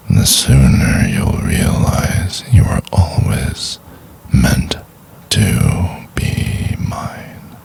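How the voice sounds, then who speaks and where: A man playing a possessive, obsessive yet tender boyfriend in an intimate ASMR roleplay, murmuring breathily and coaxingly. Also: exaggerated voice. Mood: insistent, soothing. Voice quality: breathy, whispery, gravelly low murmur. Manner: slow, coaxing, intimate, lingering on words.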